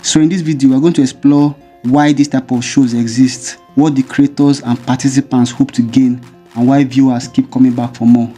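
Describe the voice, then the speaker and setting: A man hosting a commentary channel, reading a measured, explanatory script. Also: mid-range voice, dark tone. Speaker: video essay host.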